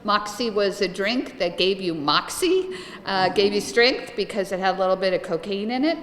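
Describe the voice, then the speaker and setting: A middle-aged woman giving a chatty, lively history lecture to a room of listeners. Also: medium pitch, moderate pace, a little hiss or hum. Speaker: guest lecturer, local historian. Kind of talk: public lecture with slides. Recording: echoey room, some reverb.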